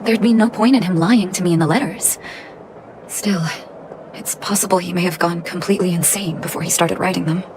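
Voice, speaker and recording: An actress playing an earnest, gentle and caring woman doctor in a video game. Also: fairly low pitch, steady tone, brisk, background noise, natural voice. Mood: pensive, troubled. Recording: music behind the voice. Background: music.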